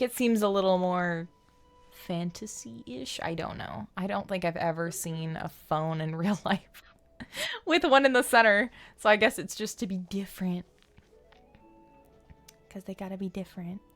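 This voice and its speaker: A woman's voice: fairly low pitch, measured pace, long pauses, a bit bright. Playful woman streamer chatting over music. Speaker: game streamer and host. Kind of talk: casual livestream chat. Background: background music.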